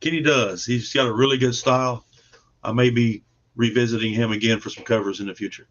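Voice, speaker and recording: A man with an average-pitched voice talks as a guest creator in a casual interview. He is modest and plainspoken in manner. The sound is dull and lo-fi, as on a video call. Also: steady tone, a little fast, fairly bright tone. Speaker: comic book creator, podcast guest.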